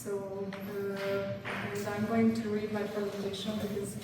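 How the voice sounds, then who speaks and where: A young woman with a Hungarian accent, a native Hungarian speaker, reading her conference presentation in English with many halting pauses. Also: mid-range voice, completely flat delivery. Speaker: guest lecturer. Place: large lecture hall. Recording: echoey hall from far off, background noise.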